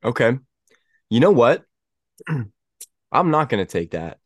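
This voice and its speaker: A young man with a stuffy head cold, hosting a casual sports podcast and talking in halting bursts with many restarts. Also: mid-range voice, very animated, no room sound, studio quality. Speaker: podcast host.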